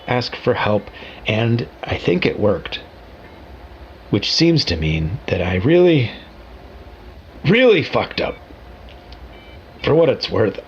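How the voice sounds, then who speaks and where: A lone radio operator in an audio drama, rueful and wry, with a low, gravelly voice. He confides haltingly, over a dull lo-fi transmission with clear static. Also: exaggerated voice. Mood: remorseful. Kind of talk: found-footage audio drama monologue.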